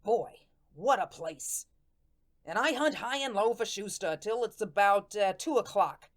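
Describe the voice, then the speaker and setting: A woman's voice: medium pitch, lively intonation, a little slow, natural voice. A woman playing the earnest, hapless ghost of a murdered tough guy in a radio drama, talking in folksy slang. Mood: chatty, rueful. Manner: folksy slangy speech with dropped grammar and rhetorical questions. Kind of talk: radio drama first-person monologue.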